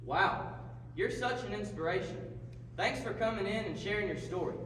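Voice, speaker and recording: Folksy radio host puppet played by a man, wry patter, high voice, noisy distant pickup. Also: animated, moderate pace, fairly bright tone. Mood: curious. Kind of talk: comedic radio talk show skit. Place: live performance in a large hall. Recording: echoey hall from afar, background noise.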